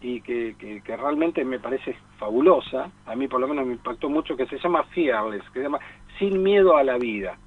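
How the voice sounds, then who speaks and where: A man's voice: mid-range voice, lively intonation, normal speed, slightly rough. A man talking casually as a film expert on a radio programme, digressing and repeating phrases for emphasis. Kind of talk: casual radio discussion of cinema. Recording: tinny phone-like radio line.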